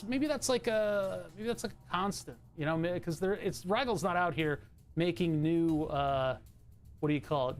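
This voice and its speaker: Chatty man with a trebly voice. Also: high voice. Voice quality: bright, trebly voice. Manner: rambling, self-interrupting, chatty. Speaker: streamer. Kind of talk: casual stream chat. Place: home streaming room.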